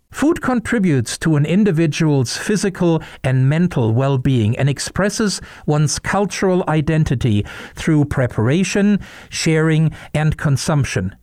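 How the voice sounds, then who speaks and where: Man hosting a measured interview podcast. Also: mid-range voice. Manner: measured, reads a prepared introduction. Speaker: podcast host. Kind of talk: interview podcast, scripted intro.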